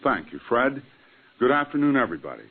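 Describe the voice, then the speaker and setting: A man's voice: medium pitch, very animated, brisk, husky. A man, the director of a radio drama, giving a scripted 1940s-style introduction. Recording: tinny vintage radio recording.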